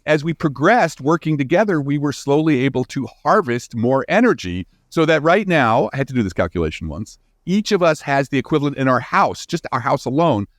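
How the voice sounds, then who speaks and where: Animated man explaining science, very lively pitch. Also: no room sound, clean studio sound. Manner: emphatic, informal, thinking aloud. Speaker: guest scientist. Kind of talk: explanatory interview conversation.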